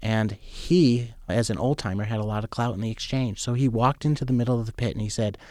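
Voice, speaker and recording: A man's voice: mid-range voice, brisk, a little mellow, dry recording. Man, former trader, personal storytelling, candid and conversational, dry close sound.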